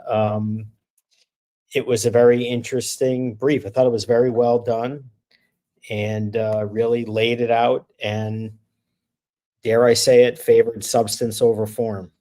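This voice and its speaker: A man who is an attorney, a guest chatting casually in a relaxed, wry way with many fillers. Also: mid-range voice. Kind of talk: casual chat.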